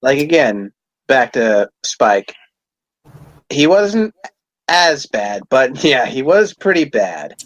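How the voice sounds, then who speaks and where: A man's voice: mid-range voice, big pitch swings, slow pace, pauses often, dry recording, muffled recording. A man co-hosting a casual fan discussion, talking informally with some mild swearing. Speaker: podcast co-host.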